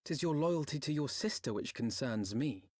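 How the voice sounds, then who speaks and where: A man's voice: mid-range voice, lively intonation, moderate pace, a little mellow, no echo, clean studio sound, natural voice. A man playing a wary, probing comrade in a video game cutscene, speaking in a formal, pointed, questioning way. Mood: suspicious.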